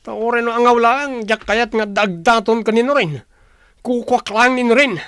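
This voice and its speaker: A man's voice: very high-pitched, unhurried, a bit bright, smooth, no echo, clean studio sound, full character voice. A man playing a jealous, hot-headed, possessive man in an audio drama, sounding aggrieved. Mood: bitter, jealous. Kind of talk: Ilocano audio drama acting. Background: music.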